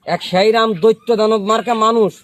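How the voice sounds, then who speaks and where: A man's voice: high voice, fairly even intonation, moderate pace, dry recording. A man tells a comic tall tale to listeners, dramatically, repeating phrases for suspense. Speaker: storyteller telling a tall tale. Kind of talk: comic yarn-spinning to listeners.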